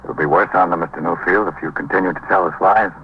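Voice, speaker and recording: A man's voice: low voice, fast talker, close to an everyday voice. A male actor playing a stern, methodical American police lieutenant in a 1950s radio drama, firing clipped, formal, pressing questions. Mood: stern, accusing. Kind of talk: 1950s radio acting, interrogation. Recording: muffled, noisy 1950s radio recording.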